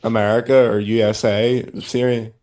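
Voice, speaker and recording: Man, Irish accent, deadpan, dry one-liners, casual banter. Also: lowish voice, normal speed, a crowd in the background.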